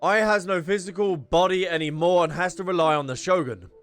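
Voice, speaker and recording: A man doing casual live commentary as a gaming streamer, excitable and given to repeating short phrases. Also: sits high, a little fast, smooth. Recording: game music behind the voice. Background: video game music.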